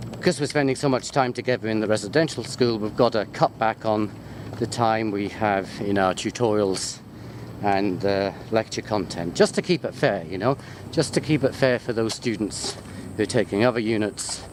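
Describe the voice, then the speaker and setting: A man's voice: on the low side, moderate pace. A man chatting casually and off the cuff as a lecturer filming himself on a walk, jokey and easily sidetracked. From United Kingdom. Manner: rambling, easily distracted, jokey. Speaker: university lecturer. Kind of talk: casual unscripted walking video chat. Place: outdoors, walking through botanical gardens. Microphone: handheld wide-angle sports camera. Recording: outdoor ambient noise while walking.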